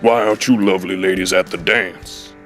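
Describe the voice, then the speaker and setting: A man announcing scenes in a fan audio drama and also playing a diner owner, in a flat announcing manner. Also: deep voice, a little fast, soft background music.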